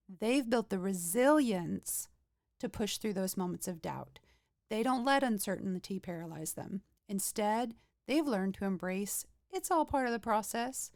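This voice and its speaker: A woman hosts a podcast, speaking to the listener in an upbeat, motivational and conversational way. Her voice sits at a middling pitch. It is recorded dry and close, with no room sound. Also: darkish tone, dry recording. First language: not Swedish. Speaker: podcast host. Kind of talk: scripted podcast monologue.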